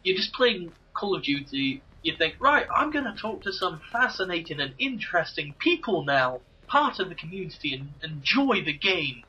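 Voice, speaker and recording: A man's voice: a little high, very animated, moderate pace, few pauses, pushed and bright, lo-fi sound, slight background noise. A man with an English accent, joking, ranting and telling anecdotes in casual gaming commentary chat. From England. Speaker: co-host.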